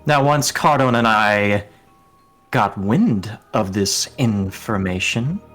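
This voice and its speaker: Man playing a smug, slow-talking scheming villain. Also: lowish voice, lively intonation, a bit bright, faint music behind, voice pushed down. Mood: gloating, grandiose. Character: smug, sardonic scheming villain who monologues his plans. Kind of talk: tabletop roleplay villain.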